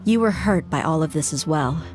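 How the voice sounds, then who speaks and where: An actress voicing a tough, reflective female mercenary in a video game, speaking calmly and candidly. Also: sits low, moderate pace, music in the background.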